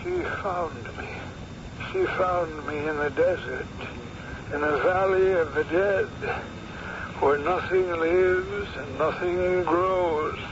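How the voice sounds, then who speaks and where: A high-pitched, tormented old professor. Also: male voice, takes it slowly. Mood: haunted, mournful. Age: older. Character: tormented old male professor, frantic and haunted. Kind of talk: old-time radio drama acting. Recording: noisy, muffled old radio recording.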